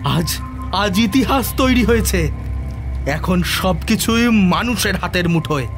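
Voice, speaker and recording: An actor playing an obsessed, triumphant scientist who defies God, declaiming theatrically in speech for an audio drama. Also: male voice, sits high, animated, takes it slowly, music in the background, heavily acted. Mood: exultant. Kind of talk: dramatised audio story acting.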